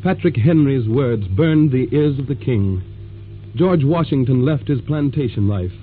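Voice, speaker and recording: An actor playing a solemn, poetic narrator of a historical 1940s radio drama, with measured delivery. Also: male voice, mid-range voice, animated, close to an everyday voice. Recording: tinny old radio with music.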